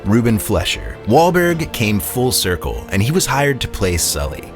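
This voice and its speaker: A man narrating a scripted video essay. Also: fairly low pitch.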